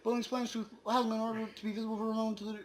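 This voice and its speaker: A man giving a comedic dramatic reading in an over-the-top theatrical manner, his voice a little hoarse. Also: high voice, flat delivery, racing, slight background noise. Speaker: reader.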